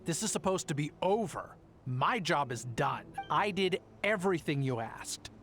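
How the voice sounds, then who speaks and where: A man's voice: on the high side, animated, normal speed, a bit bright, soft background music. A man playing a desperate, indignant informant in a video game, pleading and protesting. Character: desperate, indignant male informant standing up to bosses.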